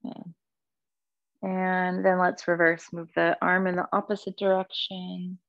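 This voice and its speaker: Low, creaky-voiced woman guiding yoga. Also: completely flat delivery, no echo, dull audio. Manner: soothing, gentle, unhurried instruction. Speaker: yoga and meditation teacher. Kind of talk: guided yoga class with breathing cues.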